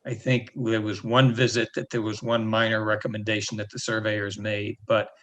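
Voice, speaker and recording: Low, flat-voiced man at a meeting. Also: normal speed. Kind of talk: meeting remarks.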